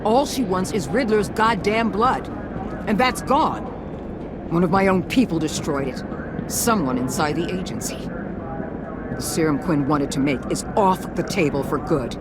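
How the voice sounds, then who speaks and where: Game role: tough middle-aged woman in command played by an actress, deliberate, authoritative, heavy street noise. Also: fairly low pitch, close to an everyday voice. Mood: grim, resigned. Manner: blunt, authoritative, hard-bitten. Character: tough, commanding middle-aged female government agency director. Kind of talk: video game acting.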